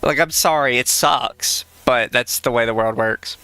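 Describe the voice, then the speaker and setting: A man's voice: medium pitch, a little slow, pushed and bright, smooth, no room sound, poor audio. A man co-hosting a casual podcast, talking bluntly and sarcastically and swearing freely. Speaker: podcast co-host.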